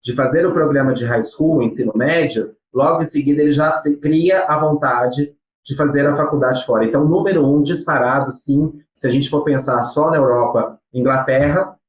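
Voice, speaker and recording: A Brazilian man with a Brazilian Portuguese accent, a study-abroad agency representative explaining things in an interview, measured and pausing often on fillers. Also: on the high side, reverberant. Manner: measured, with frequent hesitation fillers.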